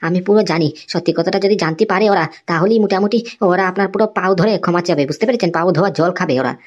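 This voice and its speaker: A woman's voice: lowish voice, very fast, dry recording, studio quality, natural voice. A woman voicing an indignant, fiercely loyal cartoon employee, insistent and confrontational, full of tag questions. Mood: exasperated. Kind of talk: amateur cartoon dialogue.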